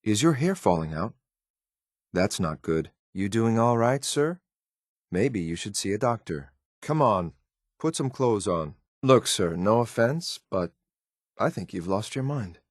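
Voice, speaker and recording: Stoic android soldier, lowish pitch, deadpan, clipped military delivery, loyal yet ruthless, video game companion, dry close studio sound. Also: male voice, unhurried, no room sound. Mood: dry, blunt. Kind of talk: scripted game voice lines.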